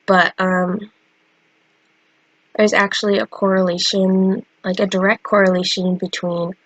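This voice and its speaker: A woman's voice: medium pitch, level tone, measured pace, no room sound, poor audio. A young woman with a stuffy, cold-congested voice, chatting casually about her drawings with many fillers. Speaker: artist vlogger. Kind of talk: casual chat.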